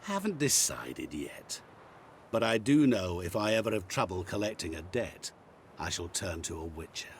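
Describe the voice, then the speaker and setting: An actor playing a sly, calm otherworldly bargainer in a video game, speaking in measured, theatrical phrases over faint rumble. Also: male voice. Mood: wry. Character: sinister otherworldly bargain-making man, calm, sly and knowing. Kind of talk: video game dialogue acting.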